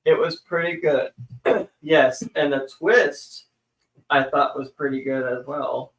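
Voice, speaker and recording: A man with a somewhat high, squeaky voice, co-hosting a casual live stream. He jokes around and breaks into playful exclamations. The sound carries a noticeable echo from the room. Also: a bit bright. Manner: playful, jokey, quick to exclaim.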